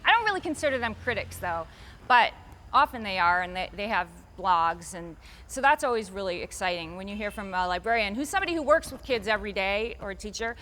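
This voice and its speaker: A woman's voice: medium pitch, animated, fairly quick, sharp tone. A woman author answering interview questions in a chatty, rambling way, restarting her sentences often and lifting her voice for emphasis.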